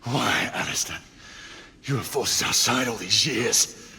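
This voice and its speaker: An actor playing a solemn, conflicted Victorian knight in a video game, speaking formally and with dramatic intensity. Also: male voice, mid-range voice, a little slow, pushed and bright, slight background noise. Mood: grave, accusing.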